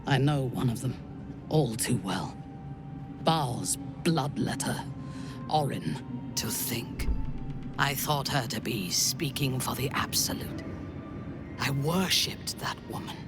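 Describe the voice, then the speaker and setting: An actress playing an imperious, vengeful dark elf commander in a fantasy game, haughty and deliberate. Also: female voice, low-pitched, heavily acted.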